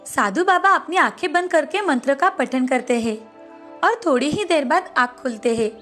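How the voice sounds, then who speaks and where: A woman's voice: sits high, lively intonation, moderate pace, fairly bright tone, soft background music. A woman narrator reading a scripted moral bedtime story.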